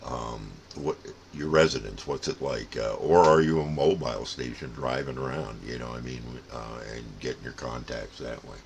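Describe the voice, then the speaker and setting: A man's voice: extremely low pitch, steady tone, moderate pace, gravelly, hardly any pauses, slight background noise. An older man giving a casual, rambling, folksy explanation as a plain-spoken hobbyist host. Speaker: radio hobbyist and channel host.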